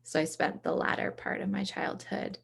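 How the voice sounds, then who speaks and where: A woman's voice: medium pitch, steady tone, moderate pace, vocal fry, husky. A woman from the US East Coast, speaking as an interview guest in casual, reflective conversation. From from the US East Coast, around Boston.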